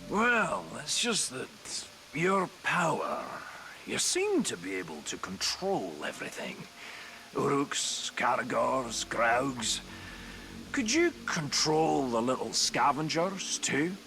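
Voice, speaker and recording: Wildly animated, grumbling dwarf hunter. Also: male voice, medium pitch, vocal fry, a little hiss or hum, heavily acted. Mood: reluctant, hesitant. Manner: theatrical, halting, grumbling delivery with drawn-out emphasis. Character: gruff, squeamish but scheming dwarf hunter, comically exasperated. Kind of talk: video game cutscene acting.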